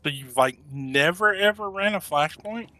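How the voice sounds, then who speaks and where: A man's voice: high-pitched, expressive, normal speed, bright, forceful tone, dry recording. A man playing in a gaming group, chatting casually with teasing, joking banter.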